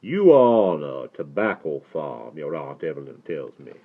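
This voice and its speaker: A man voicing a learned, courtly rabbi with a Southern accent, speaking deliberately and formally in a storybook reading. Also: very deep voice, sing-song, slightly rough, heavily acted. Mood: warm, confiding. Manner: deliberate, soft-spoken, grandly formal. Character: courtly, learned, severe-looking but warm Southern rabbi. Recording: thin, phone-like narrow band.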